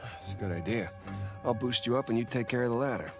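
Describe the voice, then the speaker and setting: A man's voice: medium pitch, quick pace, over music, natural voice. An actor voicing a cocky, teasing adult man in a video game, sneaking around with his brother. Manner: teasing, animated. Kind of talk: video game cutscene acting.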